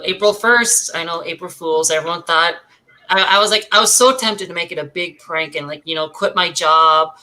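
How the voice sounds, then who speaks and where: A woman's voice: on the low side, sharp tone, rough recording. A young American woman from Minnesota, an actress chatting casually as a guest in an informal, rambling way. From Minnesota, United States. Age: young adult. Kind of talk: casual chat.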